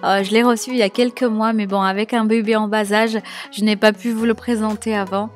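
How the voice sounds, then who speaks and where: A woman's voice: medium pitch, flat delivery, a little fast, faint music behind. A young woman narrating a cleaning video voice-over, sharing tips with enthusiasm and frequent hesitations. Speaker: home cleaning vlogger.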